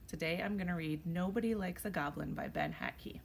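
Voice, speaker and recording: A woman with a slightly low voice reads a picture book aloud like a pre-K teacher. Her delivery is expressive and made for young children. There is some noise behind her. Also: a little fast, creaky.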